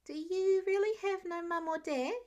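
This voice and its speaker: A woman's voice: very high-pitched, moderate pace, dark tone, muffled recording, voice pushed up. A woman voicing an eager, blunt kindergarten-age boy, chirpy, earnest and full of questions. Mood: eager, curious.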